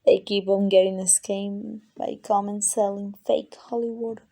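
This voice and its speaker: A woman voicing an ancient, arrogant, possessive female vampire in an ASMR roleplay, condescending and teasing, with a breathy voice that dips into whispers. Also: medium pitch, little pitch movement, normal speed, warm tone. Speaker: amateur voice actor.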